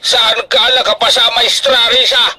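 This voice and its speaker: A man's voice: very high voice, flat delivery, normal speed, heavy background noise. A man acting an emotional, doting older farmer father in an Ilocano radio drama, speaking emphatically with a Filipino Ilocano accent. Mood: shocked, demanding. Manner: emphatic, emotional, colloquial exclamations.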